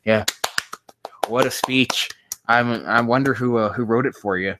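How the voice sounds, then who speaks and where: A man's voice: medium pitch, a little slow, noisy background. A man hosting a sarcastic reaction reading of fan fiction, with a profane, mocking manner prone to exasperation. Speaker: host.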